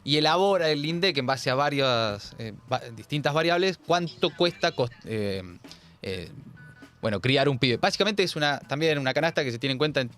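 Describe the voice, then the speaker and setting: A man's voice: on the high side, expressive, moderate pace, fairly bright tone, faint music behind. A man with a Rioplatense Argentine accent hosting a political commentary show, talking in an emphatic, sardonic, slangy way. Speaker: host.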